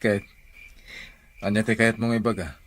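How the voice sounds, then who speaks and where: A man's voice: fairly low pitch, fairly even intonation, normal speed, fairly bright tone, slight background noise, close to an everyday voice. A man playing a stern, stubborn husband who lays down the law to his wife in an Ilocano comedy-drama.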